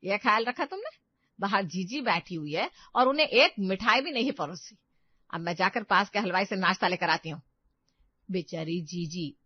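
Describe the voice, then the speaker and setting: A woman's voice: mid-range voice, no room sound, highs cut off, natural voice. A woman voicing an older mother-in-law, an emphatic, nagging family matriarch in a moral story.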